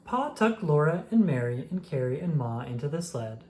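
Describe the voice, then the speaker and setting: Gentle storybook reader. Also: mid-range voice, big pitch swings, normal speed, a little hiss or hum. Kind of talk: reading a children's picture book aloud.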